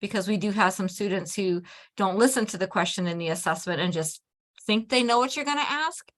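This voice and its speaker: Woman teacher on a video call, middle pitch, sharing tips in a steady, measured way. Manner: measured, practical advice with fillers. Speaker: adult ESL teacher. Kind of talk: casual discussion among teachers.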